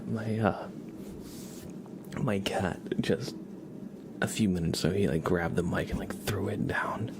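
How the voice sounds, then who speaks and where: Hushed, gravelly low-voiced man streaming ASMR. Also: background noise. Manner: hushed, amused, chatting to viewers. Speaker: live streamer, ASMR host. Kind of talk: casual ASMR livestream chat, soft-spoken to half-whispered. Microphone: close ASMR microphone.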